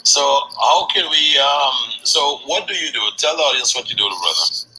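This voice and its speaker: Brash salesy man, bright forceful voice. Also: a little high, very animated, moderate pace, slight background noise, rough recording. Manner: brash, salesy, teasing, curses casually. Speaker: host of a sales session. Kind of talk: motivational sales talk.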